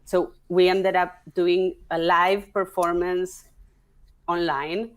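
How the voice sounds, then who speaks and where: Woman, lowish halting voice. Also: flat delivery. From Argentina. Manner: halting, restarts often, thinking aloud. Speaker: guest, opera singer and stage director. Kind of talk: casual interview conversation.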